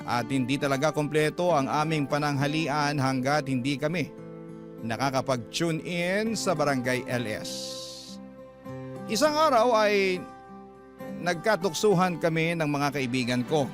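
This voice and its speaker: A man reading out a listener's letter as scripted narration for a radio drama show. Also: on the high side. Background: background music.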